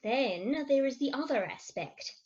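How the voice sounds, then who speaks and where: Muffled video-call sound carries a woman playing a melodramatic wife in a stage farce. Her pitch sits high and swings dramatically, with a slightly husky edge, as she frets and confides. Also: expressive, measured pace, darkish tone. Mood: woeful. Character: fretful, theatrical Victorian wife of thirty-six. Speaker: actor in an amateur staged reading. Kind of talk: Victorian farce stage acting.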